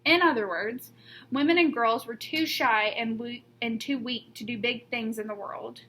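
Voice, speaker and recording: A woman reading a children's picture book aloud like a teacher, with deliberate emphasis on key words. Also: a little high, sharp tone. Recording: noticeable background noise.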